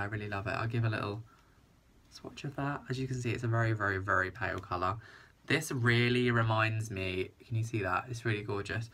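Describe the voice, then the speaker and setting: A man's voice: fairly low pitch, pushed and bright. A young British man in his twenties, gushing through a casual makeup review, his nose blocked up from hay fever. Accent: British English. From England. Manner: gushing, enthusiastic, repeats "very, very". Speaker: beauty vlogger. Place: small indoor room with a printed backdrop.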